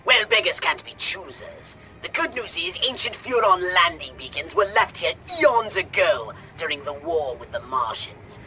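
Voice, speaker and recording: A man playing a pompous, high-strung alien mastermind in a video game cutscene, theatrical and wordy. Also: sing-song, normal speed, hardly any pauses, music in the background. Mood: smug, explanatory.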